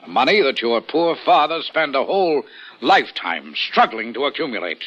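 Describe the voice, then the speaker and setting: An actor playing a pompous, scheming and domineering rich uncle with blustering, emphatic delivery in vintage radio style. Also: male voice, medium pitch, sing-song, normal speed, fairly bright tone, soft background music. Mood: stern, indignant. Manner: blustering, emphatic, repeats himself for effect. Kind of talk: 1940s-50s American radio acting. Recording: muffled vintage radio recording.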